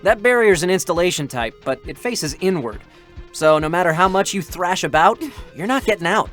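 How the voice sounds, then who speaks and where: A man's voice: high voice, expressive, fairly bright tone, faint music behind. A cheeky chatty android boy. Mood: playful. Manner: playful, teasing, quick banter. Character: cheeky young male android scanner, teasing and chatty.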